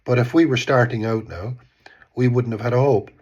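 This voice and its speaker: A man's voice: mid-range voice, steady tone, normal speed, a little mellow, husky, lo-fi sound. A man in his fifties with an Irish accent, stuffed up with a cold, giving rambling casual political commentary and often trailing off with "type thing". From Ireland. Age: 50s. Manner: rambling, repeats words, says "type thing". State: congested from a cold. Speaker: vlogger. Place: home in Bristol.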